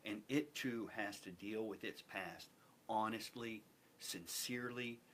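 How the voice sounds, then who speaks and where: A man speaking earnestly as an interview guest, with a deliberate, emphatic way of repeating phrases for effect. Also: fairly low pitch, a bit bright. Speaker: guest, theater company representative. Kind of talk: earnest panel interview talk. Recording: clearly noisy background.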